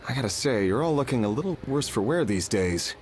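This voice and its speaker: Actor as male game customer, easygoing, polite, mild, animated medium-pitched voice, slight background noise. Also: a little fast, natural voice. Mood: mild, sympathetic. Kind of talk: video game voice acting.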